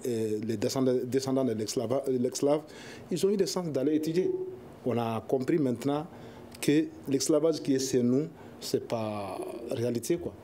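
A young man, an Arabic speaker talking in French as an association spokesman on a studio panel, halting and searching for words. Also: mid-range voice, dark tone, slight background noise. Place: television studio.

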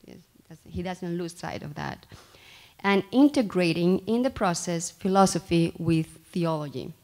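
Woman, 30s, formal introducer, low-pitched, measured and slightly halting, podium mic. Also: animated, mellow. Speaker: faculty member introducing the speaker. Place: small lecture room, indoors. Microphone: podium microphone.

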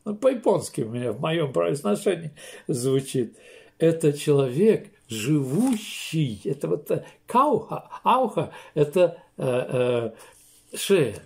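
Older guy pastor, slow emphatic sermon, seated in a small room close to the cam. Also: fairly high pitch, sing-song, gravelly, a little mellow. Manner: slow, emphatic preaching with many pauses and restarts.

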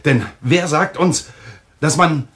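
An actor playing a self-important, calculating brother in a German thriller radio play, emphatic and sardonic. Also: male voice, a little high, slow, a bit bright. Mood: indignant, sardonic.

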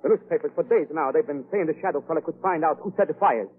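An actor playing a cowardly, excitable henchman with broken grammar in a 1930s radio drama. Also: on the high side, fast talker, slightly rough. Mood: panicky. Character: cowardly, panicky male henchman of a crime boss. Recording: tinny narrowband 1930s radio recording.

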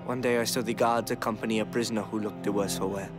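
A man's voice: on the low side, fairly even intonation, fast, over music, lightly acted. An actor playing a tough, weary young male thief with dry wit in a medieval game drama. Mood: grim, bitter. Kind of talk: cinematic video game drama acting.

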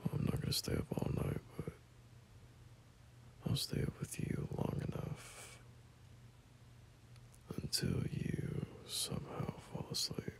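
A man playing a gentle, caring boyfriend at bedtime in an intimate ASMR roleplay, speaking softly in a breathy half-whisper with hesitant pauses. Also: natural voice. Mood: tender, tired. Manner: soft, slow, hesitant, reassuring.

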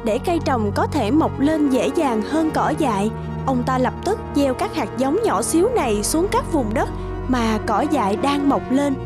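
A woman reading scripted narration for a children's animated fairy tale. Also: high voice. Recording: loud music behind the voice.

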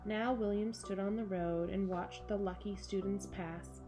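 Expressive American woman reading to children. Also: mid-range voice, unhurried, over music. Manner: animated, exaggerated enthusiasm for young children. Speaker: camp director hosting a children's story corner. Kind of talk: children's storytime presenting and picture-book read-aloud. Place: small furnished room at home, indoors.

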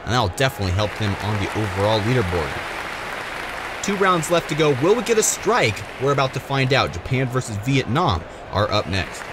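A male commentator calls a race play by play in a medium-pitched voice. A loud crowd and heavy background noise run behind him throughout. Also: big pitch swings, a little fast. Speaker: sports commentator for marble races. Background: loud crowd and ambient noise.

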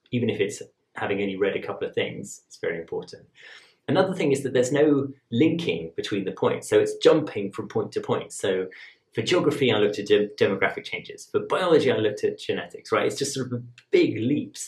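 A man, an admissions tutor calmly explaining to camera in a measured, conversational way. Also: lowish voice. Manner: measured, conversational, rhetorical asides. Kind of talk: explaining to camera, advice lesson. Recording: roomy echo.

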